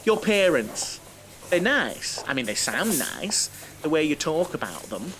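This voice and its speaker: An actor playing a naive, lonely bachelor who rambles and overshares in an audio comedy. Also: male voice, on the high side, big pitch swings, measured pace, a bit bright, slight background noise, heavily acted, poor audio. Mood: reminiscing, rambling. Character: chatty, naive, lonely middle-aged bachelor, mother-obsessed coach passenger. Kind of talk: audio drama comedy acting.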